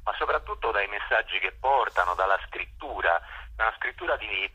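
A man explaining things informally as a radio interview guest, often halting to search for words, with enthusiasm for his subject. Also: on the low side, animated, sharp tone, husky, lo-fi sound. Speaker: interview guest, spokesman for a park conservation association.

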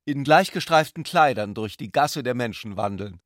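An actor playing an intellectual, playfully ironic brother in a literary radio drama, speaking eloquently. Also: male voice, mid-range voice, lively intonation, normal speed, no echo, clean studio sound, close to an everyday voice. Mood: playful irony.

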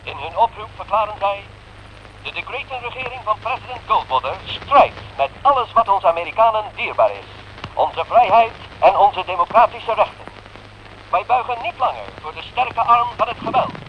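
High-pitched male rebel radio announcer. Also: expressive, normal speed. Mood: proclaiming. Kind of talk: scripted news bulletin in a radio play. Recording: muffled noisy radio broadcast.

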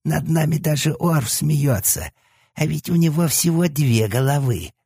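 A man's voice: on the high side, expressive, measured pace, vocal fry, warm tone, breathy edge, dry recording, studio quality, pitched above the performer's own voice, heavily acted. A man voicing the cheeky, crude left head of a three-headed hellhound, with a nasal, sleazy junkie's whine. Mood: sneering. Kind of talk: audiobook character voice.

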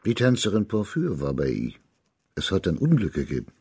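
An actor playing a dignified, courteous high judge of old China, questioning in a formal, husky voice in a radio drama. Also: male voice, wildly swooping pitch, brisk, mellow, dry recording, studio quality, exaggerated voice. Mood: grave, probing. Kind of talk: German radio drama acting.

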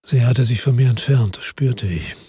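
An actor playing a world-weary police chief, narrating in a slow, measured, tired way in a radio drama. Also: male voice, medium pitch, dark tone, breathy edge, no echo, clean studio sound. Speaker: first-person narrator.

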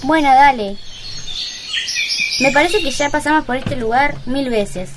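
Noisy recording, high female voice, grumbling uneasy schoolgirl, school radio play. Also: unhurried. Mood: weary, uneasy. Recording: noisy amateur recording with clicks.

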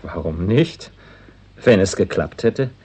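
An actor playing a gruff, easily alarmed male government minister in a 1960s German radio play, blunt and impatient. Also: low-pitched, animated, slow, warm tone, heavily acted. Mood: amused, probing.

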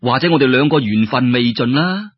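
A man voicing a kind-hearted male water ghost, friendly and wistful, in a soft, reassuring manner. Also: medium pitch, lively intonation, brisk, breathy edge, no echo. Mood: wistful, resigned. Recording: dull lo-fi radio-style recording.

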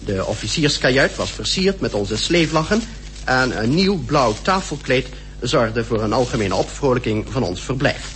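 An actor playing a polar expedition member recounting his diary in clear, formal radio-drama style. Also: mid-range voice, lively intonation, moderate pace, a bit bright, faint music behind, lightly acted. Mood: matter-of-fact.

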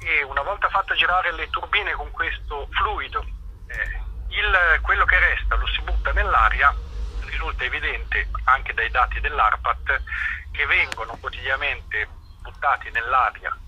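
A man being interviewed as an activist, explaining informally and haltingly, searching for his words. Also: on the high side, sharp tone, slight background noise, low-quality recording.

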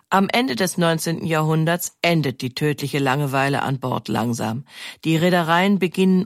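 Female narrator, very low register, scripted history documentary, dry close studio sound. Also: dry recording.

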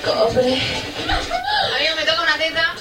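A woman's voice: very high voice, wildly swooping pitch, takes it slowly, a loud crowd. A woman talking in a rowdy, jokey way on an event video. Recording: distant echoey event video, loud music.

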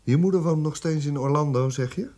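An actor playing a condescending South African police interrogator in a radio drama, prodding with short, sardonic questions. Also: male voice, a little high, brisk, dark tone, close to an everyday voice. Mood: probing.

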